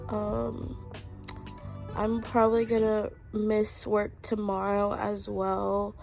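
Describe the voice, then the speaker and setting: Young female vlogger, stuffy sick voice, unhurried filler-heavy chat, mid pitch. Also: monotone, vocal fry. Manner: rambling, filler-heavy, talking to viewers. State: congested, sick. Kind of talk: casual vlog chat. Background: loud background music.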